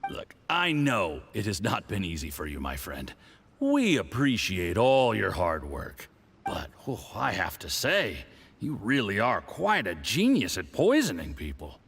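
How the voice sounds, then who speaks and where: An actor playing a smug, scheming foreign diplomat with a patronizing, mocking manner. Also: male voice, lowish voice, huge pitch swings, unhurried, vocal fry, a bit bright, a little hiss or hum, full character voice.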